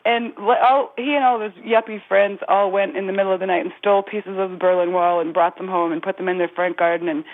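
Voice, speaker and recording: A woman's voice: mid-range voice, fairly even intonation, fast talker, few pauses. A woman with a Canadian accent, calling in to a radio show and telling a story in a chatty, laughing manner. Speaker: caller. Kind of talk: casual phone call to a radio show. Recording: phone line.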